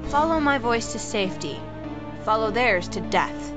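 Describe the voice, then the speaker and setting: An actress playing a taunting, menacing woman who toys with her prey, calm and cryptic, in an audio drama. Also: on the high side, a little slow, music in the background.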